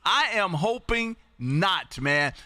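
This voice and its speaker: A loud, exuberant man chatting as an amateur radio livestream host, emphatic and prone to repeating phrases. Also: sits high, sing-song, slow pace, no echo. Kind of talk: ham radio contact chat. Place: indoor home radio room.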